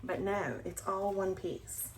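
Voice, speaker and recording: A woman's voice: mid-range voice, takes it slowly, background noise. A woman hosting a cross-stitch video, chatting casually and with enthusiasm about her projects, sometimes dropping to a near whisper. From Alabama, US. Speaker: cross-stitch channel host. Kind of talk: casual show-and-tell chat.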